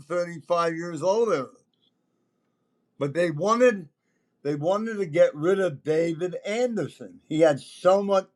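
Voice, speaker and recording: Older man spinning rambling, salty stories. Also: on the high side. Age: older, around 70s. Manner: rambling, salty-tongued, emphatic. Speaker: podcast host telling stories as a former concert promoter. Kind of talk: casual anecdotal storytelling.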